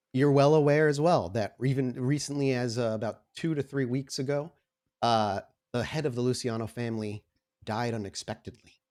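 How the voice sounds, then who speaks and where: Male tabletop storyteller, lowish voice, improvised and explanatory delivery with a halting flow. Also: darkish tone. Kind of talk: improvised tabletop game narration.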